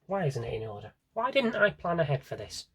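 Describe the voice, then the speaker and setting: A man with a slightly husky voice rambles casually to viewers as a collector, thinking aloud and interrupting himself in a wry way. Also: fairly high pitch, sing-song, brisk, noisy background. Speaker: collector showing his audio drama CDs. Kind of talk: casual rambling vlog chat.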